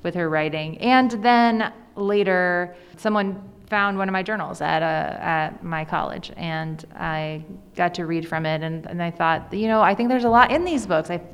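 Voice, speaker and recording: An American woman chats casually as an interviewed author. Her voice is fairly low, with marked vocal fry but a smooth tone. The room adds a long reverb. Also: moderate pace. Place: indoors at a school.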